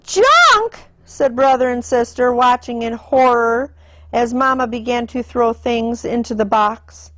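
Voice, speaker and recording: A woman reading a children's picture book aloud in an animated, deliberate storytelling manner. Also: fairly high pitch, no echo. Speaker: storytime reader.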